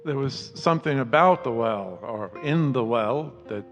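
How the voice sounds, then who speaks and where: A man's voice: mid-range voice, expressive, measured pace, dull audio, natural voice. An actor playing a frightened farmer in a radio drama, stammering and repeating his words. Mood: nervous. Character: terrified, stammering farmer, male neighbour witness. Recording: prominent music behind the voice.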